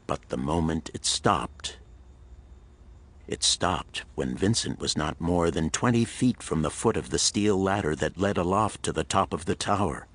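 A man's voice: sits low, expressive, unhurried, breathy edge. A man narrating a pulp thriller audiobook in a dramatic, suspenseful storytelling manner.

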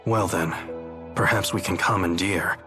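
A man voicing a grave older gentleman in a video game, deliberate and formal. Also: deep voice. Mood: pondering. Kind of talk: English video game cutscene voice acting. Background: music.

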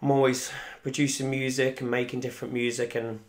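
A man's voice: mid-range voice, flat delivery, brisk. A young man, casually chatting to viewers on a live stream from home, reading out comments. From Wales. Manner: chatty, rambling, reads viewer comments aloud. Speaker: musician hosting a live stream. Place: small room indoors at home. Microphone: desk microphone in front.

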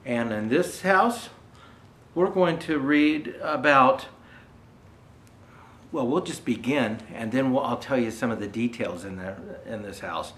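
An older man reading a children's picture book aloud, pausing to explain things gently to young viewers. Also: medium pitch. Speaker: storyteller dressed as Santa Claus. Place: indoor room with Christmas decor. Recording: roomy indoor echo.

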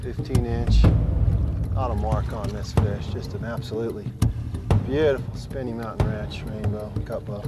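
A man's voice: fairly low pitch, steady tone, normal speed, loud music behind the voice. A man giving casual fishing commentary outdoors as an angler. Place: outdoors on a river.

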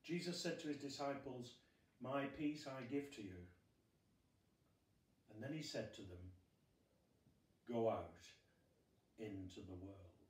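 A middle-aged man giving a short sermon from notes as a chaplain, in a measured, deliberate way with pauses. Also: mid-range voice. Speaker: school chaplain. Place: small glazed conservatory at home. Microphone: phone camera a few metres away. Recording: roomy, distant phone recording.

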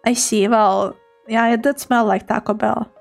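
Young woman VTuber, high-pitched, giggly and playful, casual chat with viewers at a home desk mic. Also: steady tone, measured pace, vocal fry, soft background music. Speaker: VTuber streamer. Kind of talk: casual chat reading chat messages.

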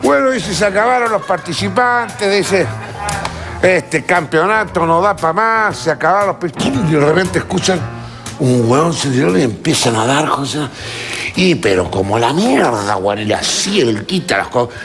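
An older Chilean comedian rattles off a vulgar joke on a TV studio stage, full of swearing and quick voiced quotes. His voice sits fairly high for a man. Loud music fills the background. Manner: profane, animated storytelling with voiced quotes. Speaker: stand-up comedian. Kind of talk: crude stand-up joke telling.

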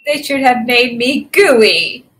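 A little storybook porcupine boy, voiced by a woman, high and bright. He is sweet, wistful and polite, a bit hesitant when he speaks. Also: expressive, takes it slowly. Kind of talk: animated children's storybook reading.

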